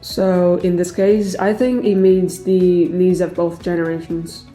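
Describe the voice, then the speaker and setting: Woman dubbing a calm businessman client. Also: fairly low pitch, a little slow, lightly acted. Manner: hesitant, frequent "uh" fillers. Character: middle-aged male immigrant client, calm businessman. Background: faint background music.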